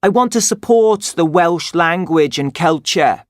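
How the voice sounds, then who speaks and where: A man playing a young man from North Wales, who speaks in a measured, firm scripted monologue. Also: sits high, lively intonation, no room sound, studio quality.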